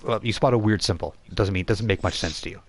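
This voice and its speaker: Man, deep voice, game master, halting conversational storytelling, repeated phrases. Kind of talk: narrating a tabletop role-playing game. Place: live online game stream.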